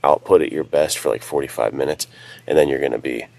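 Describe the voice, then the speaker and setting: A man's voice: extremely low pitch, fast, gravelly, darkish tone. A man chatting casually as a podcast co-host and writer, giving practical advice in informal language.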